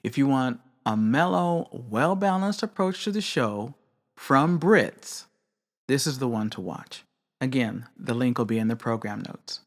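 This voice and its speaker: Measured, candid woman hosting a craft show. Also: rumbling low, lively intonation. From not British. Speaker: podcast host. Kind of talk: reflective solo commentary.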